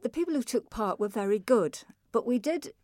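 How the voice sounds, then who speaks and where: A woman with a Manx accent, a festival chairperson, briskly and clearly explaining new classes with touches of humour. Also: on the high side, lively intonation, warm tone, no room sound, studio quality. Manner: brisk, informative, lightly humorous. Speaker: chairperson of a music, speech and drama festival. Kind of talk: interview answers.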